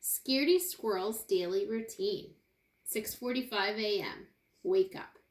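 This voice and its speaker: A woman teacher reading a picture book aloud to young children, expressively, pausing for asides and questions to her listeners. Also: mid-range voice, a little hiss or hum. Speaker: kindergarten teacher. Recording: roomy, echoey indoor sound.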